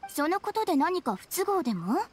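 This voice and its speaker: An actress playing an eerie, courteous young shrine maiden in an anime game, formal and teasingly ominous. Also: female voice, very high voice, sing-song, brisk, noisy background. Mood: politely unsettling.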